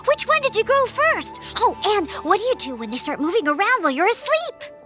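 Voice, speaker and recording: Tiny squeaky excitable girl sidekick. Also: a little fast, soft background music, full character voice. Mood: eager curiosity. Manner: bubbly, gushing, inquisitive. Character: small excitable girl sidekick, curious and chirpy. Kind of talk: video game dialogue.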